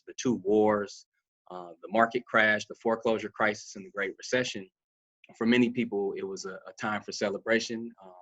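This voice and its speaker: A man's voice: lowish voice, fairly bright tone, muffled recording. A man, a graduate student, giving a prepared academic talk as a panelist.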